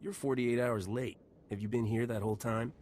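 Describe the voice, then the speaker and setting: A man's voice: mid-range voice, steady tone, a little fast, a little mellow, a little hiss or hum, close to an everyday voice. An actor playing a composed, firm male hero in a space adventure video game, speaking directly. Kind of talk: dramatic video game dialogue.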